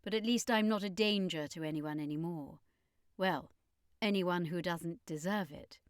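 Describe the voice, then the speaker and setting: A woman's voice: lowish voice, smooth, dry recording, studio quality, natural voice. Woman putting on a refined, posh English siren. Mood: wistful, candid. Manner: refined, measured. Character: refined, posh female siren, melancholy shipwreck survivor.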